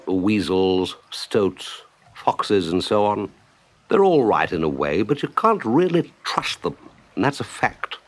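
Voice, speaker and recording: A man voicing a jolly, brisk, hearty water rat in a children's story, exclamatory and confident. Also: lowish voice, sing-song, a little hiss or hum. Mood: matter-of-fact.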